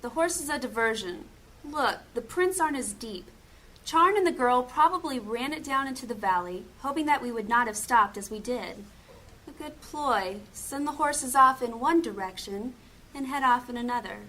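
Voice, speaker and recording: An actress playing a calm, sharp-eyed woman tracker who reasons through clues. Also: on the high side, moderate pace, poor audio. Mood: analytical.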